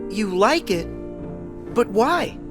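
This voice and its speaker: Friendly young hero, teenage boy, earnest, animated intonation, game cutscene, music behind. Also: high voice, takes it slowly, full character voice. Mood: grateful, wistful. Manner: earnest, heartfelt.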